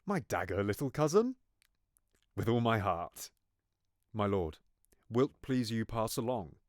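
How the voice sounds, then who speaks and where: An actor playing a ruthless, scheming royal uncle in Shakespearean audio drama, courteous in measured, formal verse. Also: male voice, lowish voice, big pitch swings, darkish tone, no echo, studio quality. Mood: courteous, sly.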